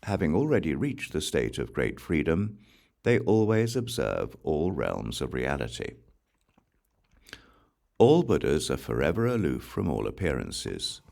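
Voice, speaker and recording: Male audiobook reader, very deep bass, creaky gravel, solemn scripture recitation, deliberate phrasing. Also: a little mellow.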